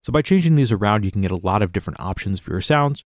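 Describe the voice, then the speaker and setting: A man narrating a casual step-by-step software tutorial in an informal, explanatory way. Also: on the low side, animated, racing, dry recording, studio quality.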